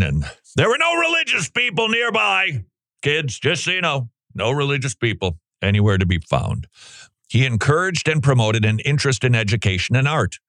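Animated sarcastic male radio host. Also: medium pitch, dry recording, studio quality. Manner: animated, mocking, sarcastic asides. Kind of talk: commentary on a curriculum, reading excerpts aloud.

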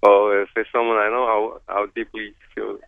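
A man's voice: on the low side, level tone, normal speed, gravelly, no echo. A young man from Korea who answers questions hesitantly, in short replies with fillers. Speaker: interviewee on the street. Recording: dry tinny phone-like line.

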